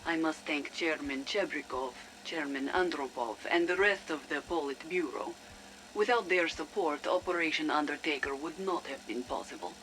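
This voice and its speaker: An actress playing a proud, ambitious Soviet research chief in a video game, giving a solemn, formal audio log over a faintly noisy recording. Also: female voice, sits low, moderate pace, fairly bright tone. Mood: resolute. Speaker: game character, research lead.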